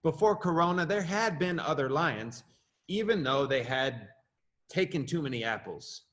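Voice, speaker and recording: A middle-aged man, an assistant principal, reading his own children's story aloud with lively rises in pitch. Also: normal speed. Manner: expressive, lively rises on questions and exclamations.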